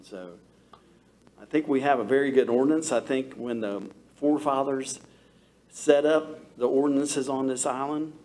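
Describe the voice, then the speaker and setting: A man's voice: medium pitch, a little mellow. A man, a local builder, arguing his point at a town meeting in a folksy, emphatic way with many restarts. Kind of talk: public comment at a meeting. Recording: faint room noise, meeting hall.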